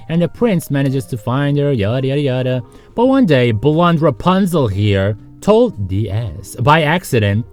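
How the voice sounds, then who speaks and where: A man with a lively, swooping delivery narrates in a sarcastic, joking style. He slips often into casual asides to the viewer, as a host would. Soft music plays under his voice. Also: mid-range voice. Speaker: host of a video series.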